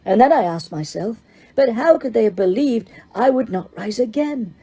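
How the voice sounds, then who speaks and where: A woman reading aloud, putting on the voice of a cold, menacing male dark wizard who speaks slowly and quietly. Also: a little high, animated, breathy edge, pitched above the performer's own voice. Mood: brooding.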